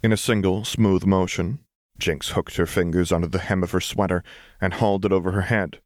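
A man's voice: low-pitched, steady tone, a little fast, no echo, studio quality. A man reading scripted fiction as an audiobook narrator.

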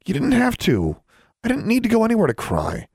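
A man's voice: sits low, normal speed, warm tone, dry recording, studio quality, natural voice. A man voicing a stubborn, defensive teenage witch in an audiobook, speaking in a guarded, terse way. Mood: stubborn, hurt.

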